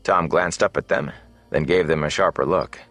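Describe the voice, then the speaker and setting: A man narrating a fantasy novel as an audiobook in a measured, dramatic way. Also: rumbling low, fairly bright tone, no room sound. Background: faint music.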